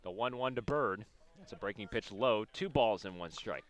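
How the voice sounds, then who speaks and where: A man's voice: on the low side, moderate pace, fairly bright tone. A man calling baseball play-by-play for radio in clipped, descriptive phrases as the game unfolds. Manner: clipped descriptive calls of the action. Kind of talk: live radio sports commentary.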